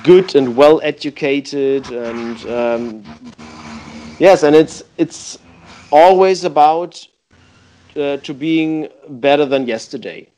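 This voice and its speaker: A man, a youth football coach, answering interview questions in halting English with many pauses. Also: on the high side, mellow, low-quality recording.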